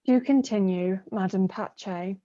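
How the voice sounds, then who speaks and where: A woman's voice: medium pitch, measured pace, dark tone, lightly acted. A woman playing a bitter, defiant young daughter in a stage play, with a sardonic, mocking manner. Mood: imperious, goading. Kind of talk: theatrical play acting. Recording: dull muffled video-call audio.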